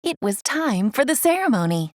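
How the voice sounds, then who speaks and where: A woman reading a scripted children's read-along story in an expressive storytelling manner. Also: sits high, moderate pace, no room sound, clean studio sound. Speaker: storybook reader.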